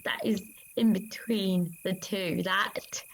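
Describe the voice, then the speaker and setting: A woman playing a female disciple who solemnly recounts a vision in a halting, reverent dramatic monologue with long pauses. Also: medium pitch, fairly even intonation, creaky. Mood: reverent, hushed. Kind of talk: dramatic monologue of an ancient religious text.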